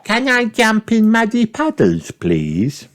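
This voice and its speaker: An excitable little girl piglet from a children's storybook , acted out by a man. The voice is pitched very high and exclaims eagerly, with a lively, sweet, pleading manner. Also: slow pace, exaggerated voice. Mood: excited, eager.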